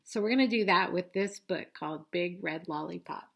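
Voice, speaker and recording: A woman reading a picture book aloud to children like a teacher, expressively, pausing for simple explanations and questions. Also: on the low side, vocal fry, a bit bright. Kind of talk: read-aloud storytelling with asides and questions to young listeners.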